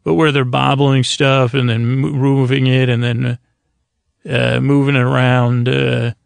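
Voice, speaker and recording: A man with a heavily creaky, gravelly voice hosts a sleep podcast in a dry, echo-free recording. His tone is lulling and soothing. He meanders through halting sentences, doubling back and leaving thoughts unfinished. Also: medium pitch, flat delivery. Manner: meandering, halting, repeats and trails off mid-sentence. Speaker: podcast host. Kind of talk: rambling bedtime monologue to help listeners sleep.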